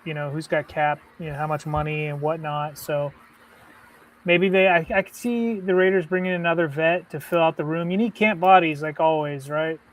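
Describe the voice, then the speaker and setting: A man with a somewhat high voice co-hosts a casual live sports stream. He talks in a loose, rambling way, filling his speech with "like" and "you know". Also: fairly even intonation, normal speed, poor audio. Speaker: podcast co-host.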